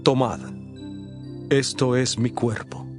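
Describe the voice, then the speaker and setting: A man playing a grave, authoritative male religious teacher in a dramatised scripture audiobook, speaking in a solemn, measured way. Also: medium pitch, steady tone, over music, close to an everyday voice.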